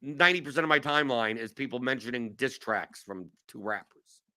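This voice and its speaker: A man's voice: medium pitch, animated, a little fast, sharp tone. A man in his forties from New York, hosting a casual show in a rambling, self-mocking way with lots of restarts. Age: 40s. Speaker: show host. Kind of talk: casual chat.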